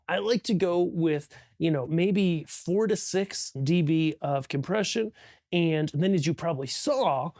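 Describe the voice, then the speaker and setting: A man's voice: on the high side, creaky, darkish tone, no echo, clean studio sound. A man explaining things as an audio instructor in an informal, conversational tutorial, thinking aloud as he goes.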